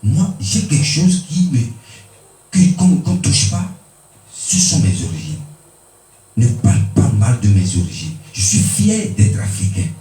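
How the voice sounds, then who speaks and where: A man from Benin preaching a sermon, emphatic, with pitch swinging high and low for stress. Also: sing-song, measured pace, mellow, faint music behind. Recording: echoey room, mic at a distance.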